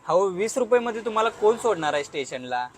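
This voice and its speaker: Man playing a high-voiced cheeky auto-rickshaw driver. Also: sing-song, fast. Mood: brisk, cheeky. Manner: wisecracking, colloquial. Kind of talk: comic cartoon dialogue.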